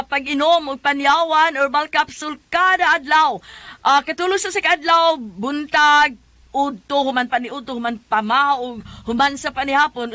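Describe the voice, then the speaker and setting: This is a woman co-hosting radio with a Cebuano Filipino accent. Her voice sits high and has a bright edge, with a dry studio closeness. She speaks in casual chat and product promotion. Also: dry recording. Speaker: radio co-host.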